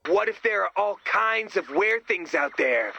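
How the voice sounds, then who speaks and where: A man voicing an excitable, naive, nerdy sidekick in a video game, earnest, chatty and goofy. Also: sits high, measured pace, creaky, no room sound, dull audio, faint music behind, heavily acted. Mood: uneasy, goofy. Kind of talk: video game character voice lines.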